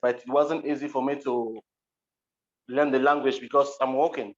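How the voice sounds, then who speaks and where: A man's voice: medium pitch, steady tone, normal speed. A man from Cameroon with a Cameroonian accent, recounting personal experience plainly and a little hesitantly as a guest on an online call. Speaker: interview guest, interpreter. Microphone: video call. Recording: muffled, dull online call audio.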